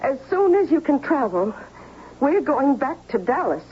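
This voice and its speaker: Anxious, animated, high-voiced sweetheart. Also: female voice, unhurried, over loud music. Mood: fretful, soothing. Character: anxious, solicitous young fiancee at a bedside. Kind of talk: 1970s radio drama acting. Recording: muffled, faintly noisy 1970s radio recording.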